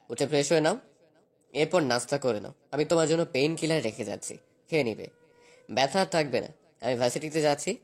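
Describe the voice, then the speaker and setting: A man's voice: a little high, close to an everyday voice. A man playing a possessive, domineering young husband in a dramatized romantic story, speaking in a commanding, insistent way.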